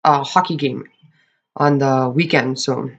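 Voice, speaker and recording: A tarot reader with a hoarse, crackling voice from losing it, giving a casual monthly reading to camera and often checking in with the viewers. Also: medium pitch, steady tone, a little slow, highs cut off. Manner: chatty, often checks in with "okay?"; clears throat often.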